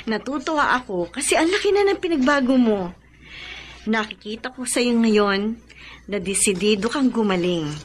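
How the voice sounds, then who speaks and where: A woman's voice: fairly high pitch, lively intonation, normal speed, a little hiss or hum, lightly acted. A woman acting a kind, encouraging doctor in a Filipino radio drama, reassuring and nurturing, with a Filipino accent.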